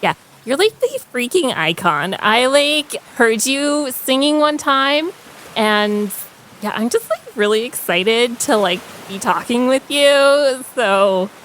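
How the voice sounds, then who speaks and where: Girl doing a awkward gushy young adventurer lady, tabletop game, super casual n halting, hissy background. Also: fairly high pitch, animated, fairly bright tone. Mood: gushing, confiding. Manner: gushing, hesitant, filler-heavy casual chatter. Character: bubbly, awkward, star-struck young adventuring woman.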